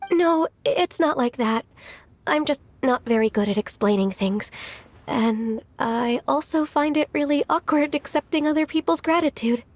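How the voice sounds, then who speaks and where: A woman voicing a gentle, shy and earnest young woman in a video game, polite and a little hesitant. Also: sits high, normal speed, close to an everyday voice. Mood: shy, awkward. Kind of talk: video game character dialogue. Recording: faint music behind the voice.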